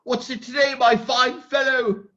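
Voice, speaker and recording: A man's voice: very high voice, little pitch movement, unhurried, bright, forceful tone, voice pushed up, exaggerated voice. A man reading aloud and voicing an elderly miser overcome with joy, breathless and cackling, in a dramatic old-time radio style, on a dull lo-fi recording. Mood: ecstatic.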